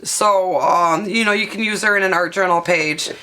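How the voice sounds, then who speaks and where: A woman with a hoarse, half-lost voice, chatting through a craft tutorial at her table and showing items with plenty of ums and uhs. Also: on the low side, moderate pace, bright, forceful tone, rough recording. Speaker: craft vlogger. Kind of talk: casual show-and-tell craft tutorial.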